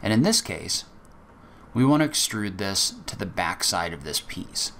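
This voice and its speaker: A man teaching a software tutorial, explaining each step methodically in a casual way with occasional fillers. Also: low voice, very animated, unhurried, slight background noise. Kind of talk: screen-recorded software walkthrough.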